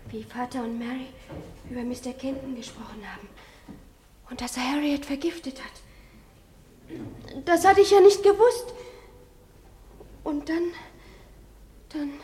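A woman playing a frightened, neglected young girl who testifies haltingly in an old German radio drama. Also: high-pitched, a little mellow. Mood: frightened, hushed, then anguished. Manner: halting, repeating herself. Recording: hissy 1950s radio drama recording.

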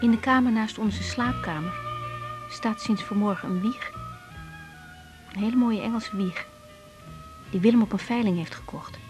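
A woman's voice: fairly high pitch, normal speed, long pauses, over music, lightly acted, low-quality recording. An actress playing a wry, guarded young newlywed woman doctor in a radio drama. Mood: reflective. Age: young adult. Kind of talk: 1980s Dutch radio drama acting.

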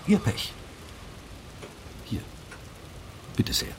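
An actor playing a defiant, resentful village official in a German radio play, speaking bluntly and with foreboding. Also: male voice, drawn-out words, a little hiss or hum. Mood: ominous warning.

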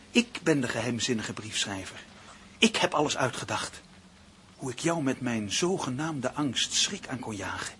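An actor in a radio play playing a cold, calculating man who taunts his partner in a theatrical, deliberate, mock-sweet way. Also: mid-range voice, fairly bright tone, a little hiss or hum. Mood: gloating menace. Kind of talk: Dutch radio play acting.

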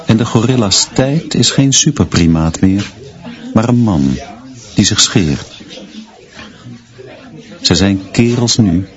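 An actor playing a brooding schoolteacher, narrating in measured, deliberate short phrases in a literary radio drama. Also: male voice, lowish voice, animated. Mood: brooding, contemplative. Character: brooding male schoolteacher narrating his observations of pupils. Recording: dull, muffled sound.

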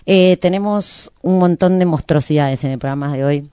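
A woman's voice: low-pitched, moderate pace, warm tone, clear, resonant tone, no echo. A woman with an Argentine Rioplatense accent hosting a radio show in a casual, rambling, playful chat. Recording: background music. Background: music.

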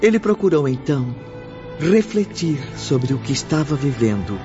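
A man's voice: fairly high pitch, very animated, moderate pace. A man giving solemn, emphatic scripted narration for a radio drama. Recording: muffled audio with music behind.

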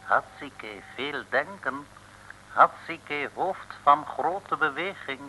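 An actor playing a sly, boastful villain in an old radio serial, speaking pompous, mock-humble broken Dutch and naming himself in the third person. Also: male voice, a little high, expressive, takes it slowly, sharp tone, exaggerated voice. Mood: wary. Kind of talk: 1950s-60s radio serial acting. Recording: noisy old radio broadcast recording.

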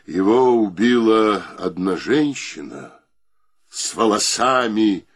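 Husky, blustering rogue. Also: male voice, fairly low pitch, lively intonation, slow pace, heavily acted. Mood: mock mournful. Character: Klinkov, brash, womanizing, penniless rogue friend. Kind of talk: Soviet radio play acting.

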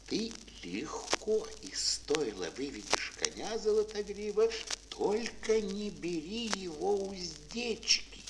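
Неторопливого мудрого серого волка. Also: male voice, a little high, full character voice. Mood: cautioning. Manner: measured, admonishing. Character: wise, loyal male grey wolf, gruffly caring helper. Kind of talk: fairy-tale audio play acting. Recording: old mono record, faint noise.

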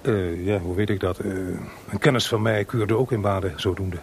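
A courteous, tactful gentleman. He talks politely and a little hesitantly, gently probing. Faint noise sits on the recording. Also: lowish voice, moderate pace, creaky. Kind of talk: radio play acting. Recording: noisy radio play recording.

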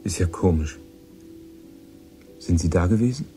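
A man's voice: deep voice, expressive, measured pace, mellow, breathy edge, faint music behind, full character voice. An actor playing a polite, guarded eighteen-year-old American boy in a radio play, speaking with reserved hesitation. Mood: curious.

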